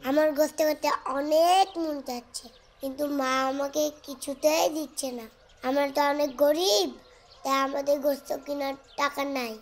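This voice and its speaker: A woman's voice: high voice, fairly even intonation, normal speed. Woman doing a whiny little boy. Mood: sweet. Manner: whiny, pleading. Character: poor little boy, stubborn but sweet. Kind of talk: homemade cartoon voice acting. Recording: music playing behind.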